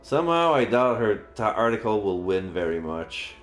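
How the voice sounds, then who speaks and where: Guy reading a visual novel out loud on stream, lowish voice, echoey room, storytelling style. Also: sing-song, normal speed. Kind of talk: narration of a visual novel, acting out lines. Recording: roomy sound, faint background music.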